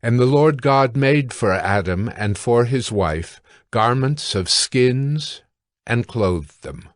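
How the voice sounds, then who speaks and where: A man narrating scripture from a script in a measured, solemn way. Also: medium pitch, expressive, no echo, studio quality.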